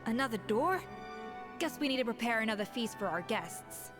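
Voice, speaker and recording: A woman's voice: fairly high pitch, lively intonation, normal speed, natural voice. A woman playing a confident, haughty young female chef and fighter in a video game, poised and theatrical. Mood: cocky. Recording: game music behind the voice.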